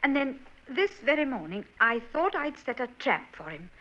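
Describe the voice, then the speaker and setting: A woman's voice: high-pitched, sing-song, moderate pace, fairly bright tone. An actress playing an earnest young Victorian woman in an old radio drama, talking in a hesitant, genteel way. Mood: worried. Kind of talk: 1940s radio acting. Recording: dull old radio broadcast.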